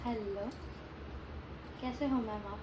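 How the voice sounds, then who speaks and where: Playful young woman, high voice, noisy room. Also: steady tone, takes it slowly. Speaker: family vlogger. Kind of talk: casual chat and prank calling. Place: at home, indoors. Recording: noisy home phone recording.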